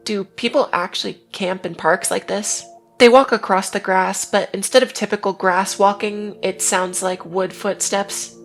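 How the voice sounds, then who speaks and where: A woman's voice: mid-range voice, fairly even intonation, unhurried, vocal fry, soft background music. A woman narrating a scripted video essay, retelling events plainly with wry asides.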